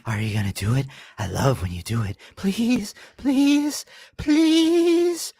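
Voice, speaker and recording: Breathy AI girl's voice, converted from a man. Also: sits high, slow, mellow, no room sound, pitched above the performer's own voice, heavily acted. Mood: pleading, breathy. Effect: AI voice conversion to a female voice.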